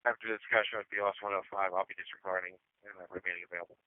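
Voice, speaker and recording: A man's voice: deep voice, fairly even intonation, racing, no echo. A man working as an emergency responder, speaking in terse messages over a two-way radio. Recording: thin narrowband radio transmission.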